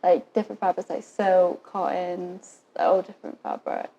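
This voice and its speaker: A woman's voice: fairly low pitch, moderate pace, gravelly, mellow, breathy edge, dull audio. A young woman in the role of a patient, answering questions briefly and informally, often saying "like". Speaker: student acting as patient being questioned.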